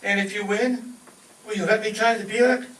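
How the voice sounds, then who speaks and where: A woman's voice: medium pitch, fairly even intonation, moderate pace, a bit bright, a little hiss or hum, natural voice. A woman playing an eager, naive teenage son in old-time radio comedy style. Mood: reluctant, curious. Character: eager, doubting teenage son, called Junior. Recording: echoey distant live stage.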